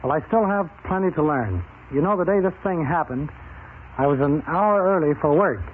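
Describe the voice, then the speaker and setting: A thin, slightly noisy 1930s radio broadcast. A young man from Indiana speaks as an interview guest in scripted banter, his voice somewhat high. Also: animated, moderate pace, narrow, tinny audio. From Indiana, USA. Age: 20s. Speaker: police officer, radio show guest.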